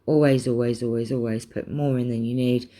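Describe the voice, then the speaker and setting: A woman's voice: rumbling low, steady tone, a little fast, dark tone. Chatty British woman rambling over craft trims. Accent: British English. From England. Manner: chatty and rambling, with many ums. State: slightly losing her voice, clearing her throat. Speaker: craft vlogger. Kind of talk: casual chat showing craft supplies.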